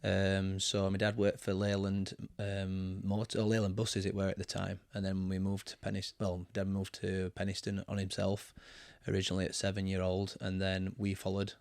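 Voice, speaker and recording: A man in his thirties with a Barnsley accent, a guest in a casual interview, talking in a relaxed, rambling way with many ums. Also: low voice, normal speed, creaky, hardly any pauses, no room sound, studio quality. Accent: Barnsley, Yorkshire. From England. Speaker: guest, football manager. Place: cluttered home studio, indoors. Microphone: studio mic with headphones.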